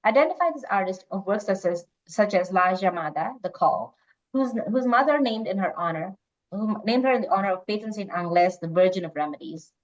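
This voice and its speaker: A woman's voice: medium pitch, expressive, fairly quick. A woman hosting a quiz, reading out questions and chatting casually with the players. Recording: dull lo-fi online call audio.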